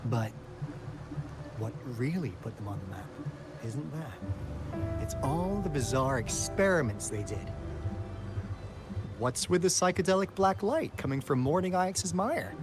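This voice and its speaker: An actor playing a playful young investigator in a video game, a teasing storyteller with theatrical delivery and dramatic pauses. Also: male voice, fairly high pitch, big pitch swings. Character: roguish young male treasure-hunting investigator, playful storyteller. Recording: music behind the voice. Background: game music.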